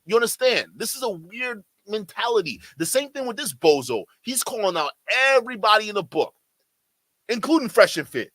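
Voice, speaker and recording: Incredulous high-voiced male streamer. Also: big pitch swings, fairly quick, a bit bright, no room sound. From Nigeria. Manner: exasperated, incredulous, talks straight to chat. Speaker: streamer reacting to a livestream. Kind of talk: livestream reaction commentary.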